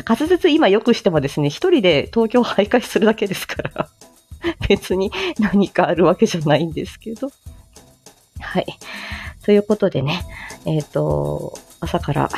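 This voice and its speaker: A woman with a slightly low voice chats casually as the host of a morning stream. She is giggly and talkative, addressing her listeners informally, with music playing behind her. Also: darkish tone, husky. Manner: giggly, chatty. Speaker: online audio show host and voice performer. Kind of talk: casual morning livestream chat.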